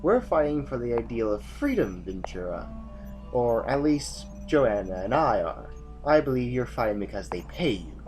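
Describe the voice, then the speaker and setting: A man's voice: medium pitch, very animated, normal speed, a little mellow, lightly acted. The stern officer in a sci-fi radio play's command room, a man acting, exasperated and formal, voice swinging sharply over a music bed. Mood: grave, commanding. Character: weary, exasperated male army general of an idealistic rebellion. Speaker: actor in an audio drama. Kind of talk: audio drama acting.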